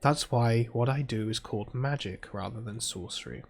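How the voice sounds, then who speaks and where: A man with a British accent reads visual novel text aloud and adds casual asides as a gaming video host, in a soft-spoken, chilled ASMR-like way. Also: medium pitch, moderate pace, vocal fry, slight background noise.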